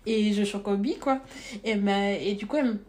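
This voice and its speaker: A woman's voice: medium pitch, normal speed. A young woman with a Congolese accent touched by French, telling a personal story as a podcast guest, earnest and reflective. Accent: Congolese with French influence. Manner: reflective, earnest, lively when recounting.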